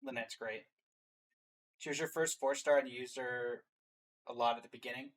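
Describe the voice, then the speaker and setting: A man's voice: mid-range voice, fairly even intonation, moderate pace. A man co-hosting a reaction video, chatting casually as he ranks game characters. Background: faint music.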